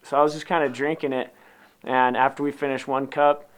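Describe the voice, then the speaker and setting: A man's voice: medium pitch, flat delivery, fairly quick, smooth, poor audio. An American man telling a personal story in a casual, unscripted and loosely rambling way, as if to an interviewer. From Utah, United States. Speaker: coffee company founder telling its story.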